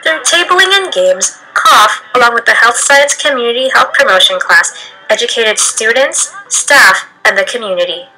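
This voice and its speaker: A woman narrates from a script in a higher, very bright and forward voice. She delivers it as documentary voice-over, with a music bed faintly underneath. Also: lively intonation, normal speed, rough recording. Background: background music.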